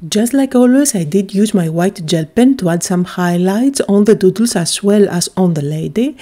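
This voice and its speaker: A woman's voice: medium pitch, expressive, moderate pace, dark tone. A woman from Greece, chattily and enthusiastically narrating a craft tutorial, with frequent little "uh" fillers.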